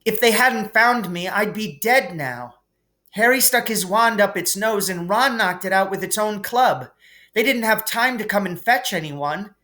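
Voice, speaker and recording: A man's voice: high-pitched, lively intonation, a little slow, bright, forceful tone, pitched above the performer's own voice, exaggerated voice. A man voicing an anxious, bookish young schoolgirl in a storybook, explaining earnestly and a little hurriedly. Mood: earnest pleading.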